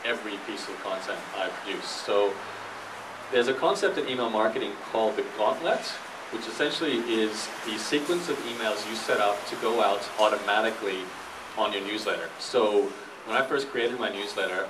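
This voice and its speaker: A man with a fairly low voice gives an informal talk, explaining things plainly as a guest speaker. He is picked up from some distance, with clear background noise. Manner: explanatory, repeats questions before answering. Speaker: guest speaker, internet entrepreneur and blogger. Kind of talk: informal talk answering audience questions. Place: live talk in a room with an audience. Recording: noisy live room, distant microphone.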